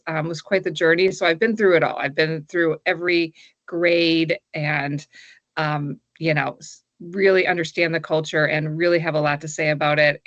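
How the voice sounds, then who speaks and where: A woman's voice: low voice, fairly bright tone, no room sound. A middle-aged American woman talking casually as a webinar guest, chatty and enthusiastic, full of "like" and "you know". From United States. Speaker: guest, migration influencer. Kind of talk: casual chat.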